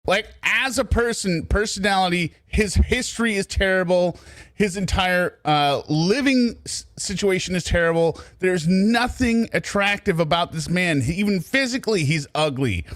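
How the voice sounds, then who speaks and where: A man hosting casual true crime commentary, animated and riffing, laughing as he goes. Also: high voice, moderate pace, no room sound. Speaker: commentary host.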